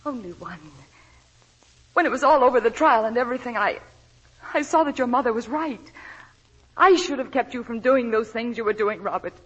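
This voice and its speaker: An actress playing a desperate, devoted and guilt-ridden young wife in breathless, pleading 1940s radio melodrama. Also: female voice, on the high side, moderate pace, long pauses, husky. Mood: pleading, anguished. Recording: dull, lo-fi 1940s radio recording.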